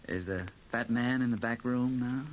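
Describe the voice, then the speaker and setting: An actor playing a brash, flirtatious American gambler who teases and probes in a 1940s radio drama. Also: male voice, mid-range voice, measured pace, lightly acted. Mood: inquisitive. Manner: glib, teasing. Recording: muffled old radio recording, faint noise.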